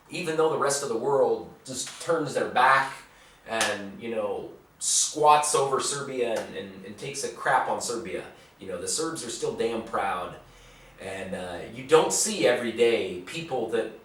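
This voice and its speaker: A man's voice: medium pitch, a bit bright, recorded from a distance. A man talking bluntly in a casual vlog, answering viewer questions. He rambles, repeats words and swears freely. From United States. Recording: very echoey room, voice off-mic.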